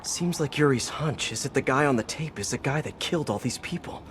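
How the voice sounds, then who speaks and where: An actor playing an earnest young superhero detective in a video game, musing aloud with curiosity and worry over music. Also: male voice, medium pitch, a little fast, background noise, natural voice. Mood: thoughtful. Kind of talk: video game voice acting, investigative monologue.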